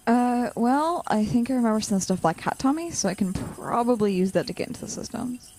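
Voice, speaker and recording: A woman's voice: a little high, expressive, brisk, warm tone, slight background noise, close to an everyday voice, rough recording. A young woman playing an anxious, sardonic college-student supervillain in a naturalistic audio drama. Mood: hesitant. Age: young adult.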